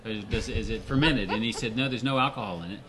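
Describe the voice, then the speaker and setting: A man hosting a casual podcast chat, an animated storyteller who laughs often and acts out other people's lines. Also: lowish voice, fast, background noise. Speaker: editor-in-chief and host.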